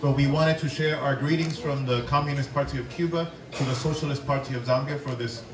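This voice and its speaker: A man working as an interpreter, rendering a speech into English with a brisk, plain delivery. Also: mid-range voice, steady tone, a loud crowd. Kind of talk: consecutive interpreting. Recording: echoey room from far off.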